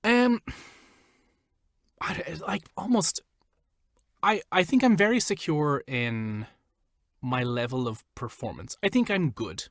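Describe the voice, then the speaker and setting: A man's voice: on the high side, big pitch swings, slow pace, pauses often, dry recording. Chatty man with a dry, close sound. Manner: chatty, loose, lots of "like" fillers. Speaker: voiceover artist guest. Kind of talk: casual interview chat.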